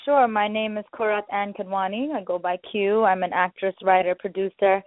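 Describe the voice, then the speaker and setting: A woman's voice: medium pitch, steady tone, normal speed, no echo. Woman, born in India raised in the Bronx, confident, eager, dry phone-line sound. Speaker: actress and writer, panelist. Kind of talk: call-in radio panel talk.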